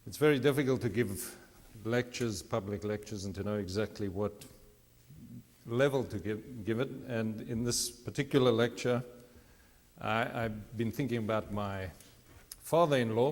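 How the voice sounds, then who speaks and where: A middle-aged man giving a public mathematics lecture, conversational with self-deprecating asides. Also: on the low side. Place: lecture hall. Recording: reverberant hall.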